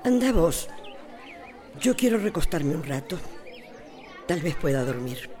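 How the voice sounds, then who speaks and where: A woman's voice: sits low, sing-song, a little slow, mellow, a faint crowd. An actress playing a grieving, stubborn elderly woman in a radio soap opera, weary and plaintive, with a Costa Rican accent. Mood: sorrowful, resigned. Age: older.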